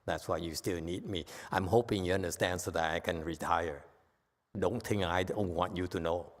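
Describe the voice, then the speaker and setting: A man's voice: sits low, fairly even intonation, moderate pace. A man with a Vietnamese accent giving a Buddhist dharma lecture in a temple hall, blunt and teasing, often checking in with "okay?". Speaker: Buddhist teacher.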